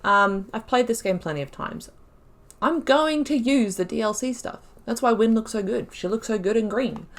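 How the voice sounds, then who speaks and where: A woman giving casual commentary while playing a game, thinking aloud and breaking into excited exclamations. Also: mid-range voice. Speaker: let's play host.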